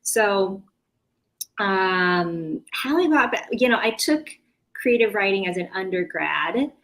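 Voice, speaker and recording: A woman's voice: medium pitch, animated, slow, fairly bright tone. An American woman from California chatting casually as an interview guest, often interrupting herself as she searches for words. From California, United States.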